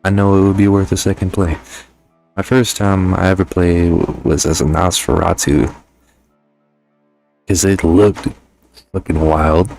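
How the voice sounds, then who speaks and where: A young man with a low-pitched voice gives an informal game review commentary. His talk is loose and peppered with swearing, with faint music behind him. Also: little pitch movement, a little slow, gravelly, pauses often, a little mellow. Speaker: gaming YouTuber.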